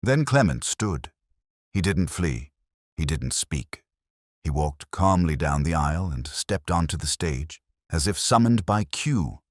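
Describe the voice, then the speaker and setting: A man's voice: a bass voice, very animated, measured pace, no room sound, clean studio sound. A man narrating a scripted mystery story.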